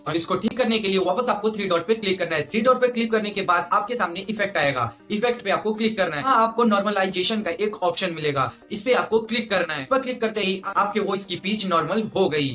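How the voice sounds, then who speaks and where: High-pitched young man, bright voice. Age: young adult. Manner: instructional, addressing viewers directly. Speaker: YouTube host giving tutorials. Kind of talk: scripted tutorial narration. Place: small indoor room, plain wall. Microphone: lapel mic.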